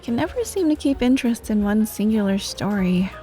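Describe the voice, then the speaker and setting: A woman playing a friendly, teasing yet bashful popular student, speaking softly and casually to the listener in a wholesome ASMR roleplay. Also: mid-range voice, expressive, fairly quick, close to an everyday voice. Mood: wistful, self-deprecating. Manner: soft, casual, hesitant, giggly. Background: music, cafe ambience.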